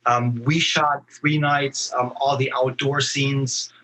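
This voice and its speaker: A man speaking as a guest actor in a casual podcast interview, telling stories in a halting, thoughtful way. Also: mid-range voice, flat delivery, pushed and bright, a little hiss or hum, poor audio.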